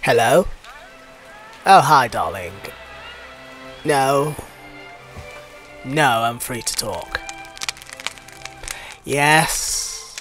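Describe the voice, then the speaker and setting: A man plays a weary clergyman in a radio drama, speaking very slowly at a middling male pitch. He is cynical and resentful of his duties, with faint music behind him. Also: expressive, fairly bright tone. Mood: relieved, chatty. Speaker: actor. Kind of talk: radio play acting.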